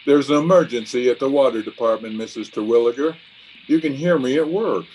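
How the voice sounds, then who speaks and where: An actor playing a blustery, pompous, scheming middle-aged water commissioner, hammy and exclamatory, in an old-time radio comedy. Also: male voice, mid-range voice, brisk, darkish tone, a little hiss or hum, natural voice, low-quality recording. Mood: blustering.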